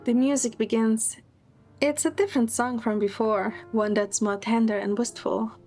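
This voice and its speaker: Higher-voiced woman narrating a romance. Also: fairly even intonation, moderate pace, mellow, soft background music. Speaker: narrator and lead in a fan audio drama. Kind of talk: scripted first-person romantic story narration.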